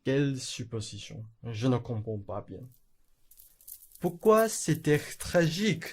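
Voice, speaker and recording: A woman playing a slow-witted, bewildered elderly upper-class gentleman in a radio play. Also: very deep voice, big pitch swings, husky, heavily acted. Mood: puzzled.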